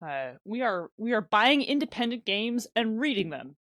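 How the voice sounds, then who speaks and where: A woman's voice: on the high side, sing-song, moderate pace, fairly bright tone, no echo, clean studio sound. A woman co-hosting a podcast in casual chat, hesitant and often correcting and qualifying what she says. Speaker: podcast co-host.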